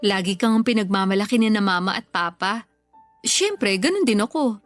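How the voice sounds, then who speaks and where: A woman's voice: mid-range voice, animated, moderate pace, dry recording, faint music behind, lightly acted. An actress playing a grateful, affectionate older sister and mother in a sentimental radio drama, speaking tenderly and earnestly. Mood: proud, affectionate.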